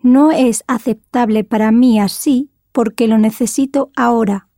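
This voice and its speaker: Female student voice, careful, gravelly and mellow. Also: fairly high pitch, fairly even intonation, moderate pace, dry recording, clean studio sound. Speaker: student speaker. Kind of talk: reading practice answers.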